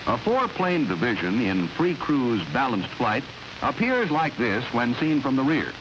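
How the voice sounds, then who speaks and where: A man with an American accent reads a scripted 1950s instructional film narration in a measured, clear, instructional manner. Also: mid-range voice, sing-song. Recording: dull noisy 1950s film soundtrack.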